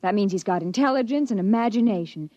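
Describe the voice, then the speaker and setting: A woman's voice: mid-range voice, lively intonation, fast talker, dry recording, lightly acted. An actress playing an anxious, motherly wife in a 1950s radio drama. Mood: coaxing. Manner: coaxing, then bustling and sharp. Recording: muffled 1950s radio recording.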